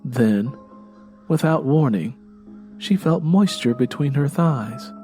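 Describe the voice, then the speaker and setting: Male storyteller, medium pitch, faint music behind. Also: lively intonation, takes it slowly, dark tone. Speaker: audiobook narrator. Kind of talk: scripted story narration.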